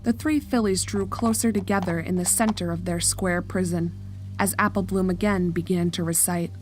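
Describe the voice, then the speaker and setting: A woman's voice: on the low side, steady tone, normal speed, faint music behind, poor audio. Woman narrating a scripted horror story.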